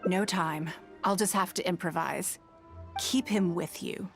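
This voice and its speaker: Lowish female voice, poised noblewoman, commanding, calculating, elegant, composed, video game dialogue, faint music. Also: fairly even intonation, a little slow, creaky. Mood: thoughtful, decisive.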